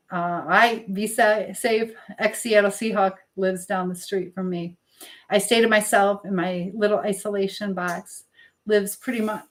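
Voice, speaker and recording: Chatty American woman, casual livestream host. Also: mid-range voice. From Michigan, United States. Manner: chatty, jumps between topics mid-thought. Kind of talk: casual live chat, reading and answering viewer comments.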